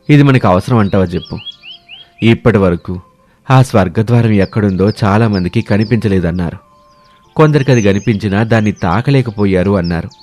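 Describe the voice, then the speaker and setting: A man's voice: lowish voice, darkish tone, lightly acted. A man voicing a timid, doubting village companion in a story, rustic and cautious in manner. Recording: music bed behind the voice.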